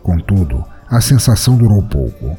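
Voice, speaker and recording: A man hosting a podcast and narrating a story in a measured, dramatic storytelling manner. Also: deep voice, big pitch swings, warm tone, faint music behind.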